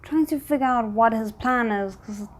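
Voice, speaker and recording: A woman's voice: on the high side, moderate pace, a little hiss or hum. A woman doing casual reaction commentary, thinking aloud in tentative asides as she watches. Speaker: reaction video host.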